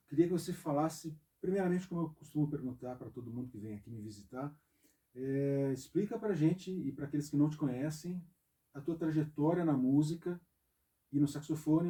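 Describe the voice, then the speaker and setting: A man hosting an interview in a music studio, speaking in a measured way. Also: medium pitch, mellow, far from the mic. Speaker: interview host, music professor.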